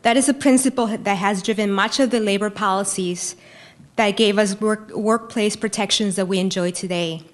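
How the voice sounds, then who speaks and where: A woman's voice: mid-range voice, steady tone, normal speed. Earnest woman debater from Peru. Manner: earnest, emphatic, repeating key phrases. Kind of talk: prepared debate speech. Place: university lecture hall.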